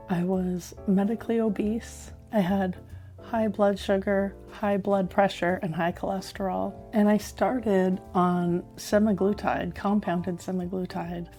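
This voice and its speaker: Hoarse middle-aged lady vlogging, mellow low-key voice, soft music under it. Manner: candid, chatty, self-reflective. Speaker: health vlogger. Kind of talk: personal vlog to camera.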